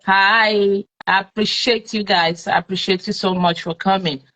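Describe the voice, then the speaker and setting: A woman's voice: medium pitch, steady tone, normal speed, a bit bright, low-quality recording. A Nigerian woman hosting a live-streamed talk show, chatting with followers and leaning on plenty of fillers. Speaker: host.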